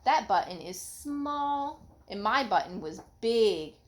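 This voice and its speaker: A woman's voice: medium pitch, lively intonation, takes it slowly, a little hiss or hum. A woman voicing a fussy, self-conscious male toad from a children's storybook, plaintive and emphatic. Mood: upset, insistent.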